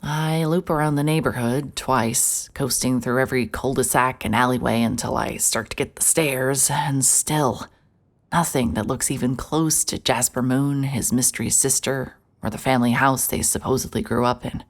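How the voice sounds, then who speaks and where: Dry, very low-voiced woman narrator. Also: fairly even intonation, normal speed. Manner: dry, wry, world-weary. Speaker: narrator and lead character, a private investigator. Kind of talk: scripted first-person noir narration. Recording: music bed under narration.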